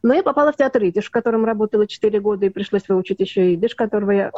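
A woman from Russia, an actress, talking through her story in a casual interview with many pauses and restarts. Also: mid-range voice, no echo. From Russia (Leningrad). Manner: rambling, many fillers and restarts. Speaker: actress and dubbing artist, guest. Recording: dull lo-fi sound.

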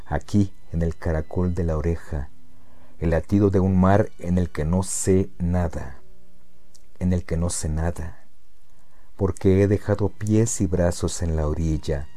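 A man reciting poetry in a solemn, measured and deliberate manner, with pauses between phrases. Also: deep voice, fairly even intonation, dark tone.

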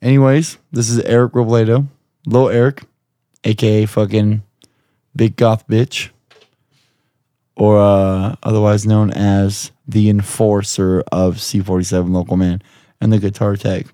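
A young man hosting a podcast, hoarse from screaming, talking in a rambling, profane, jokey way. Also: lowish voice, little pitch movement, measured pace, dark tone, dry recording, clean studio sound. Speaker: podcast host. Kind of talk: casual chat.

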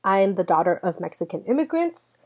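A woman's voice: medium pitch, sing-song, a little fast, darkish tone. A woman in her twenties, a native Spanish speaker, hosting a solo podcast and telling personal stories earnestly while speaking straight to her listeners. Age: 20s. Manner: earnest personal storytelling, addresses listeners directly. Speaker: podcast host.

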